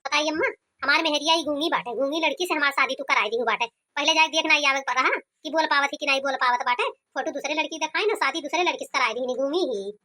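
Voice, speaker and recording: A woman's voice: high-pitched, quick pace, pushed and bright. A woman voicing a complaining, stubborn young village groom in an Awadhi-accented cartoon comedy. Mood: protesting. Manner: complaining, argumentative.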